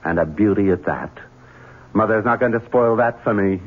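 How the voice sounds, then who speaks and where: An actor playing a domineering, nervous son in a 1970s radio drama, stammering one moment and curt the next. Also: male voice, fairly low pitch, normal speed, slightly rough. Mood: cold. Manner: stammering when caught off guard, curt and commanding otherwise. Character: domineering Southern son, nervous, drinking and cruel. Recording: narrow-band old radio broadcast.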